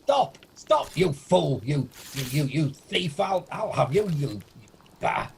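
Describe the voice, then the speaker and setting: Guy doing grumpy scared old miser for a radio play, really swoopy pitch, blustery, bit of hiss. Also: big pitch swings, takes it slowly, gravelly, full character voice. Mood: panicked, outraged. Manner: indignant, blustering, stammers when agitated. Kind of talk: amateur radio play acting. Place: at home, recorded over video call. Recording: faint noise, home video-call recording.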